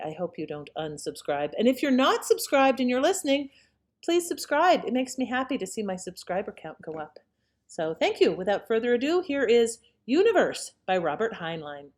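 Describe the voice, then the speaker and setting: A woman's voice: mid-range voice, a little mellow. A woman host giving a casual introduction to an old radio show in a hesitant, apologetic manner.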